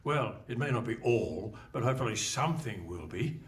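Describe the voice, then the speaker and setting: A man's voice: lowish voice, animated, moderate pace. A man narrating a comic radio play in a theatrical, scripted style before a live microphone. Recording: live hall stage, distant mic, faint noise.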